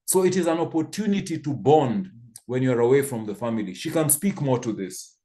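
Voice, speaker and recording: A man's voice: medium pitch, lively intonation, fairly quick, dark tone. Man in his fifties, from Kenya. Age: 50s. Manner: thoughtful storytelling with frequent restarts. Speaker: panelist. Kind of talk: church panel discussion.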